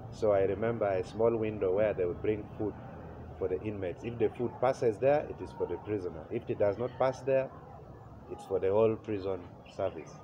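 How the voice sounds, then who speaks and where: A man in his forties from Uganda with a Ugandan accent, telling his life story as an interview guest, pausing often with "uh" and chuckling at memories. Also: lowish voice. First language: Acholi. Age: 40s. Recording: slightly muffled with faint noise.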